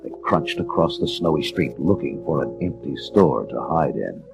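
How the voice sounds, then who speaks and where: A man reads a dramatic children's story in a very deep voice. His delivery is theatrical and measured but swings widely in pitch. Music plays behind him. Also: lively intonation, creaky. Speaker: narrator.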